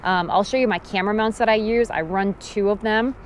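A woman in her thirties giving a casual, practical how-to talk to a handheld action camera on a river gravel bank, firm when stressing safety rules. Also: mid-range voice, a little fast, slight background noise. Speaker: fishing vlogger. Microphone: action camera held at arm's length.

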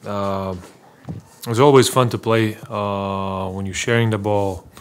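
Man, 30s, low monotone, halting speech, many fillers, postgame press conference, table mic. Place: small press room. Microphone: table mic in front.

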